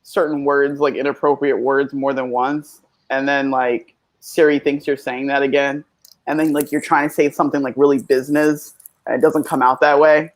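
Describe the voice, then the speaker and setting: A woman co-hosting a casual chat show, chatty and excitable, laughing often. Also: very deep voice, moderate pace, rough recording. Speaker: podcast co-host.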